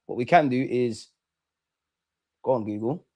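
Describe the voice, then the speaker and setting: A young man with a London accent hosting a football watch-along, chatting casually and excitably in slang with his viewers. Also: mid-range voice, unhurried. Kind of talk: casual live reaction commentary and chat with viewers.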